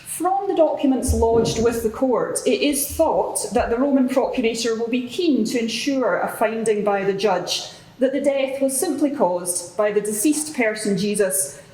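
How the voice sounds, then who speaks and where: A woman playing a formal, orderly court clerk who briefs the public in a measured, official way. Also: a little high, dark tone, natural voice. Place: large courtroom with audience. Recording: echoey hall, faint background noise.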